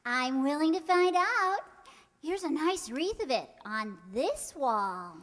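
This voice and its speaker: Woman playing a very high-voiced sweet teenage girl. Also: sing-song, slow, fairly bright tone, heavily acted. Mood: coy, sweet. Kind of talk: old-time radio comedy acting. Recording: reverberant hall recording.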